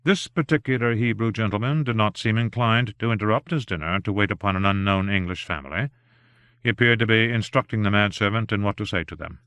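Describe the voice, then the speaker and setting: A man narrating an unabridged novel aloud in a measured, even storytelling manner, with only light touches of voicing for the characters. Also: fairly low pitch, clear, resonant tone, no echo. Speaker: audiobook narrator.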